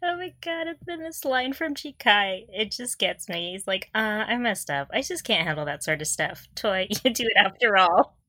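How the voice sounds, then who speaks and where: A woman's voice: mid-range voice, animated, moderate pace, few pauses, sharp tone, no room sound, studio quality. A woman co-hosting a casual fan podcast, recapping with gushing enthusiasm and frequent giggles. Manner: giggly, often breaking into laughter. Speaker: podcast co-host.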